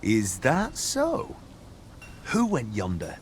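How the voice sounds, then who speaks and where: Seasoned-sounding guard, fantasy game: blunt, caring, hugely varied pitch, ambience in the background. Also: male voice, wildly swooping pitch, slow pace, a little hiss or hum. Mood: concerned, alarmed. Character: gruffly caring male fantasy soldier, concerned comrade. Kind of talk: scripted fantasy game dialogue, archaic English.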